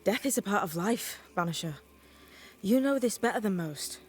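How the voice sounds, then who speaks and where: A woman playing a blunt, sly young witch's novice in a video game, wry and teasing in a deadpan way. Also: mid-range voice, normal speed, husky. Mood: calm, knowing. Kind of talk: video game cutscene acting.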